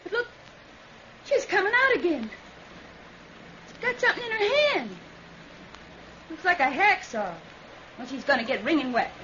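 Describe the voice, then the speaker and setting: An actress playing a sharp, nervous, scheming rural American woman in an old-time radio drama. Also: very high-pitched, sing-song, normal speed, long pauses, full character voice. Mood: anxious, scheming. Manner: edgy, folksy country speech. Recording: muffled vintage radio recording, faint hiss.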